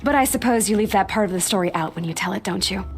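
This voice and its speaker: Young outcast huntress, female, played by an actress: defiant, self-assured, mid-pitched, video game drama. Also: fast, soft background music, natural voice. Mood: defiant, scornful. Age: young adult. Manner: sharp-tongued, self-assured.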